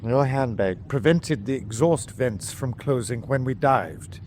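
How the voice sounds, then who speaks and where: An actor playing a weary, dutiful German submarine captain in a wartime radio drama, speaking in a formal, measured way. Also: male voice, mid-range voice, lively intonation, darkish tone, a little hiss or hum, natural voice. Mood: stern, formal.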